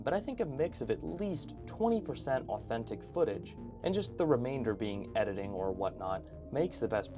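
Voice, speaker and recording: A man narrates an online video essay in a medium-pitched voice with clear background music underneath. He speaks as an opinionated host, keeping the scripted commentary informal and conversational with frequent asides.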